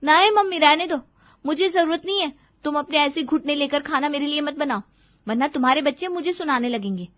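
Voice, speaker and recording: A woman's voice: high voice, brisk, no room sound, dull audio, voice pushed up, lightly acted. A woman voicing a spoiled, sulky teenage daughter in a cartoon moral story, whining and snapping petulantly. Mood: defiant.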